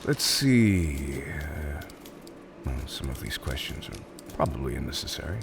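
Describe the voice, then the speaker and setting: A man's voice: extremely low pitch, very animated, a little slow, vocal fry, noisy background, full character voice. A man playing a theatrical, polite but exasperated supervillain interviewer in a roleplay audio drama, hesitant and mock-professional, prone to chuckling. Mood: amused, businesslike.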